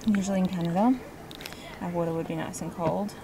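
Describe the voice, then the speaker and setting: A woman's voice: fairly low pitch, fairly even intonation, brisk, noisy background. A woman, chatting casually to a handheld vlog camera. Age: 20s. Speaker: travel vlogger. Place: outdoors on a forest hiking trail and summit, later in a car. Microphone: handheld camera at arm's length.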